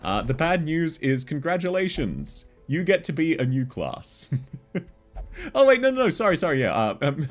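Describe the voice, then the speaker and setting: A man's voice: on the high side, sing-song, normal speed, soft background music. A man with an Australian accent, chatting casually with viewers on a game livestream, laughing often as he answers chat. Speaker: game streamer.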